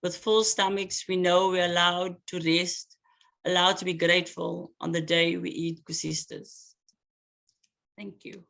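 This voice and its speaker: Man reading poetry slowly. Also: high voice, level tone, creaky, fairly bright tone. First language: Afrikaans. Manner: slow, measured recitation with hesitant asides between pieces. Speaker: poet.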